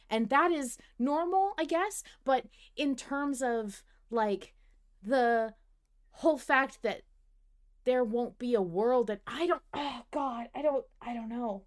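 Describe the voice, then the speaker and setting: A woman hosting a podcast, debating in casual fan discussion, animated and often interrupting herself as she thinks aloud. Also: fairly high pitch, a little slow. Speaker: podcast host.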